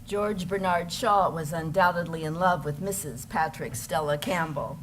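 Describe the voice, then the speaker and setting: A woman with a somewhat low voice narrates from a script to a live audience. Background noise is clearly heard behind her. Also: normal speed, low-quality recording. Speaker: narrator in an amateur readers theater.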